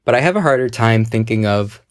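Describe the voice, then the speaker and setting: A man from the United States leaving a prepared voicemail for a show, talking in a measured, analytical way with some hesitant restarts. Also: medium pitch, expressive, no room sound, clean studio sound. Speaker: listener calling in a voicemail. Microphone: phone voicemail.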